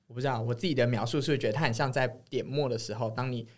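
A man with a Taiwanese Mandarin accent talks in a medium-pitched voice. He sounds like a podcast co-host in casual conversation, informal in his phrasing. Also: steady tone, very fast. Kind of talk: casual chat.

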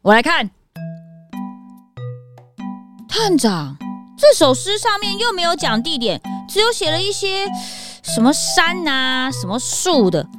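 High, childlike, curious young sidekick. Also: female voice, big pitch swings, normal speed, music in the background. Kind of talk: children's detective audio drama.

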